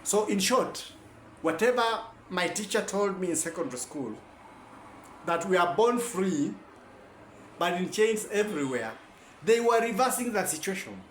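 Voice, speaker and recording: A man's voice: lively intonation, moderate pace. A man giving a rambling, preachy talk full of rhetorical questions. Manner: preachy, rhetorical questions, wide swings in pitch and loudness. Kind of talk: rambling lecture. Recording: echoey room, faint background noise.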